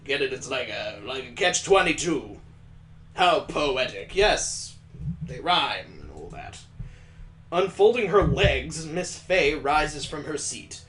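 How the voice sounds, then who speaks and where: A playful man reads a visual novel aloud and breaks in with jokes as the let's play host. His voice sits a little high for a man. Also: sing-song, slow pace, fairly bright tone. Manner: playful, joking asides.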